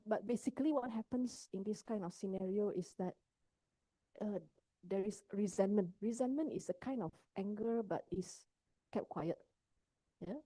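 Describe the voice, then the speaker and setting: Dark-voiced woman teaching. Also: mid-range voice, breathy edge, no room sound, rough recording. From Indonesia. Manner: engaging, checks in with listeners often. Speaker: psychologist giving a talk. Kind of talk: mental health workshop talk.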